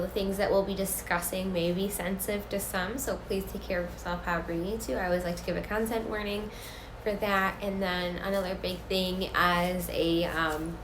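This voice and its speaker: Woman educator, stuffy and sick, earnest live-stream host, echoey room with faint hiss. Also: medium pitch. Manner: filler-heavy, earnest, repeats for emphasis. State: congested, sick.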